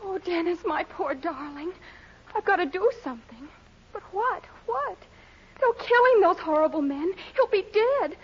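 Distraught sweetheart, extremely high voice, melodramatic, overwrought, radio comedy. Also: female voice, animated, normal speed. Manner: breathless, overwrought, dramatic. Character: frantic, melodramatic sweetheart of the hero. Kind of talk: 1940s radio comedy acting. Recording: noisy, dull old radio recording.

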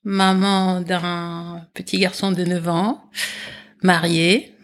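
A woman's voice: fairly low pitch, level tone. Reflective woman in her forties. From Comoros. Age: 40s. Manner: reflective, speaks in halting phrases with pauses. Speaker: guest, company founder. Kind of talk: conversational interview.

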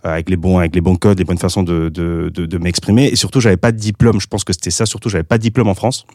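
A man's voice: low voice, steady tone, fast, a little mellow, no echo, studio quality. A Spanish man in his thirties with a light Spanish accent, speaking French as a second language, talking through his career in a casual interview, with many restarts and fillers. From Spain. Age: 30s. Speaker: guest.

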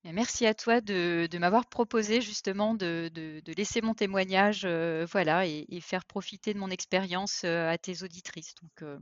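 A woman's voice: fairly low pitch, fairly even intonation, moderate pace, hardly any pauses, no room sound, lo-fi sound. A woman in her forties from eastern France, a podcast guest telling her career story with many fillers and restarts. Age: 40s. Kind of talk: interview conversation.

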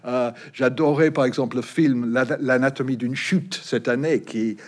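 Middle-aged British man, native English speaker, historian guest, hesitant and restarting, mid pitch. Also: steady tone, moderate pace. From Britain. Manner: hesitant, frequent restarts and fillers. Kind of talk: interview.

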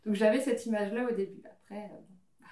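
A woman's voice: medium pitch, fairly even intonation, fast talker, dark tone. A woman in her twenties, a podcast guest, telling stories casually with frequent laughs and fillers. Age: 20s. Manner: chatty storyteller, laughs often, many fillers. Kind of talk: casual chat among friends. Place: living room, around a coffee table. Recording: roomy sound from a distant mic.

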